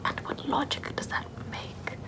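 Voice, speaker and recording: A woman playing a sardonic Puritan-era forest witch in a soft, rambling, mostly whispered ASMR roleplay. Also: measured pace, natural voice. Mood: exasperated, mocking. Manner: rambling, conversational, repeats words softly.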